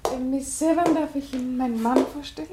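An actress playing a hospitable, brisk, slightly flustered wife and lady of the house in a German radio play. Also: sits high, steady tone, roomy sound, heavy background noise, lightly acted. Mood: tense.

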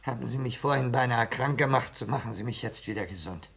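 An actor playing a sickly, touchy lodger full of wounded dignity, querulous and self-pitying, in a 1960s German radio play. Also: male voice, mid-range voice, fast talker, creaky, a bit bright, breathy edge. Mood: defiant.

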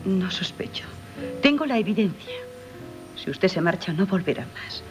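An actress with a Castilian accent playing a yearning, emotional young stepdaughter in theatrical 1970s radio style. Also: female voice, on the low side, big pitch swings, normal speed, music in the background. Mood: suspicious, reproachful. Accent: Castilian Spanish. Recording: old radio broadcast, background noise.

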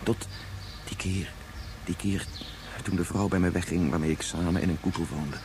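An actor playing an anguished, regretful scientist of great age in a Dutch science fiction radio play, speaking haltingly and turning back on his own words. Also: low-pitched, fairly even intonation, noisy background. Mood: brooding, regretful. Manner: halting, repetitive, ruminative. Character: anguished 177-year-old scientist, brooding, regretful father.

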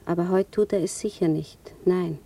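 An actress playing a wistful young woman in a radio drama, musing haltingly to herself. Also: fairly low pitch, fairly even intonation, vocal fry, warm tone. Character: dreamy, wistful woman lost in her own thoughts. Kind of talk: radio drama interior monologue. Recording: distant microphone, music behind. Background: music.

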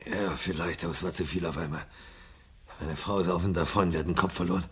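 An actor playing a desperate, guilt-ridden man on the run in a radio drama, speaking in an agitated, halting mutter. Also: deep voice, steady tone, slightly rough. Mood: subdued, confessing. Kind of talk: 1970 German radio drama acting. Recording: noisy radio drama, vehicle sound behind.

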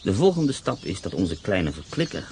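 A scheming prisoner of war with a very low voice, calm and calculating. The radio play recording has a clear hiss behind him. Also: male voice, normal speed. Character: cunning German POW escape planner.

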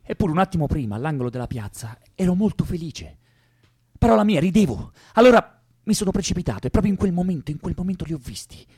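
An actor in a radio drama playing an anguished young revolutionary poet, fervent and pleading, with a husky voice. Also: male voice, high voice, big pitch swings, fast, warm tone, no echo, studio quality, heavily acted. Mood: anguished recollection. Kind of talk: theatrical radio drama acting.

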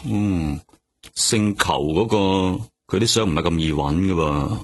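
An actor with a Hong Kong Cantonese accent playing a self-assured, offhand veteran police detective in a radio drama. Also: male voice, sits low, a little slow, breathy edge, heavily acted. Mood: offhand, cagey. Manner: offhand, streetwise.

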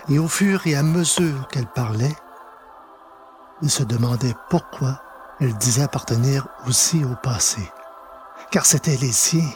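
A man narrating a literary story in French audio fiction, deliberately, pausing between short phrases. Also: mid-range voice, a little mellow.

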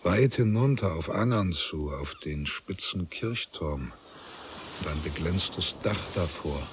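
A man narrating a literary radio drama in a deliberate, scripted manner. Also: low voice, lively intonation, noisy background.

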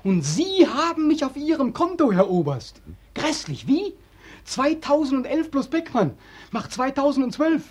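An actor playing a bitter, despairing young war veteran in a 1940s German radio drama, anguished, sardonic and accusing. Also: male voice, very high-pitched, very animated, unhurried, no echo, exaggerated voice. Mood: shrill, mocking. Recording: dry 1940s radio studio recording.

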